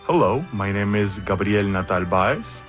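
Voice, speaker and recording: Man from Puerto Rico, low and earnest, over faint music. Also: animated, measured pace. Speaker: opera competition finalist. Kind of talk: recorded radio interview clip.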